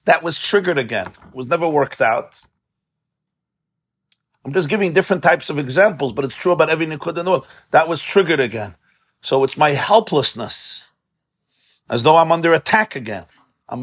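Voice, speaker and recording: Rabbi, man, slightly high voice, conversational and impassioned, study-hall class with Yiddish and Hebrew terms. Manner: impassioned, conversational, repeats words for emphasis. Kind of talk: informal religious lecture to a live group.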